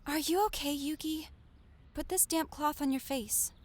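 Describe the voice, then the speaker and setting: A woman playing a caring, loyal high school girl who is quick to indignation, in an audio drama. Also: steady tone, natural voice. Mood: concerned.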